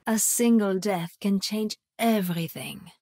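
An actress voicing a cold, condescending French sniper assassin in a video game, with a French accent and a haughty, measured delivery. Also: female voice, mid-range voice, mellow, no echo, clean studio sound. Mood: cool satisfaction.

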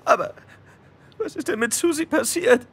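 An actor playing a frightened, whiny young small-time crook in an audio drama, husky and nervous. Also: male voice, very high-pitched, moderate pace, a bit bright, exaggerated voice. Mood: distraught. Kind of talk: German audio drama acting.

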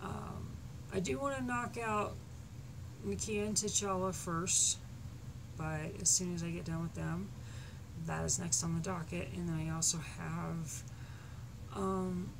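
A woman's voice: lowish voice, steady tone, slow pace, vocal fry. A woman in her thirties with a scratchy voice, talking in a rambling, hesitant way with many ums, filmed on a webcam in a small bedroom. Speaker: artist vlogging to supporters. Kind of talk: casual unscripted update. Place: small cluttered bedroom at night. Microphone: webcam at desk. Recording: noisy home webcam audio.

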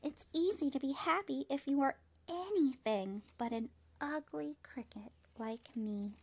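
A woman's voice: sits high, a little slow, voice pushed up. A woman voicing a sad, self-doubting little male cricket in a storybook, plaintive and wistful. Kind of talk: storybook character reading.

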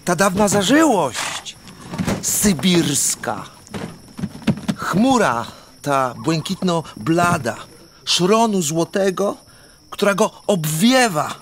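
An actor playing a florid, melancholy romantic count of fifty in a verse radio drama, speaking haltingly and poetically. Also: male voice, high voice, very animated, noisy background, heavily acted. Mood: jealous, brooding.

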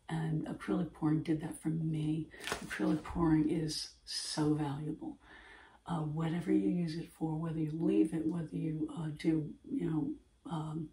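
A woman in her fifties with a gravelly voice, earnestly rambling to camera in her home art studio. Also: sits low, warm tone, recorded from a distance. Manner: earnest, rambling, repeats herself. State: throat irritation, clearing throat. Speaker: artist, channel host. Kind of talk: casual talk to camera. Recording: echoey room, voice from off-mic.

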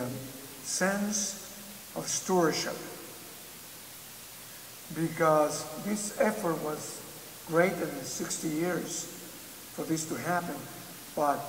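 An older man, a church leader, giving a slow, measured video message with pauses and fillers, heard as it plays on a screen in a large church. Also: a little high. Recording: echoey church hall with hiss.

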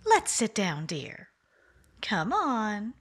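A woman's voice: medium pitch, wildly swooping pitch, very slow, breathy edge, exaggerated voice. A woman playing a cool, scheming wife in an old-time radio mystery, coaxing and purring endearments. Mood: silky coaxing. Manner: purring, calls him darling. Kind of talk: 1940s radio acting.

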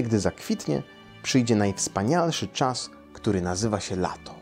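A man's voice: on the low side, darkish tone. A man, a storyteller reading a children's tale aloud in a room. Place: small furnished room, indoors.

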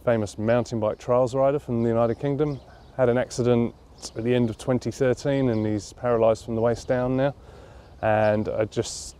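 A documentary interviewee at a campsite, a man in his thirties with a mellow, lowish voice, pausing to think as he explains himself. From London, England. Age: 30s. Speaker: interviewee, charity cyclist. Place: outdoors at a leafy campground.

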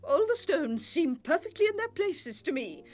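A woman playing a prim, haughty older lady in an animated dub, pompous and scolding. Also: very high-pitched, moderate pace, faint music behind. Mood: disdainful. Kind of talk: animated adventure series dubbing.

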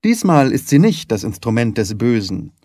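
A man voicing a shrewd, confident, courteous gentleman detective in an audiobook, formal, articulate and lightly patronising. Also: medium pitch, big pitch swings, moderate pace, dry recording, clean studio sound. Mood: confident, reassuring. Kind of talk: audiobook character reading.

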